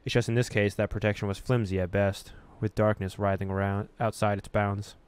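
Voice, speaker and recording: A man reading a horror visual novel aloud as a gaming host, with expressive storytelling and casual delivery. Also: on the low side, brisk, clear, resonant tone.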